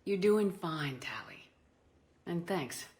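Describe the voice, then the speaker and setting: Game role: decisive, reassuring female starship commander, low, steady voice. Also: takes it slowly. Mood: calm, reassuring. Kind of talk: video game dialogue.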